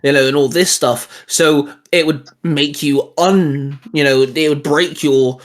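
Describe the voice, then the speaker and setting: A young man chatting about comics and ideas, his voice pitched slightly above the usual male range. He rambles, restarting sentences and leaning on fillers as he thinks aloud. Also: steady tone, a little slow. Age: young adult. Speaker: channel co-host. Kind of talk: casual geeky chat.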